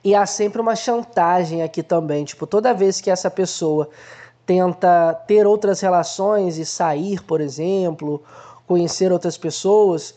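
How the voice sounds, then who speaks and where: Mellow-voiced man talking through a film. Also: high-pitched, a little slow. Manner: conversational, explains by acting out everyday examples. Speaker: film analysis host. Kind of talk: casual explanatory film commentary.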